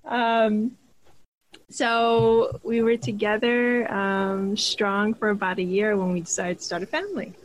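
A woman from Hawaii, a podcast guest, telling her life story in a relaxed, casual way with frequent laughs. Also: medium pitch, unhurried, slight background noise, poor audio.

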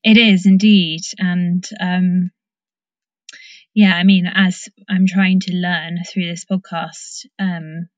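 A woman's voice: fairly low pitch, little pitch movement, takes it slowly, no echo, highs cut off. A woman from the English Midlands hosting a casual conversation, feeling her way through her sentences. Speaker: podcast host, actor.

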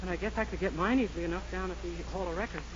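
An actor playing a naive, timid, boyish young sweetheart in a 1940s radio comedy, earnest and sheepish. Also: male voice, high voice, very fast, natural voice. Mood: hapless. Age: young adult. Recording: dull, faintly noisy old radio recording.

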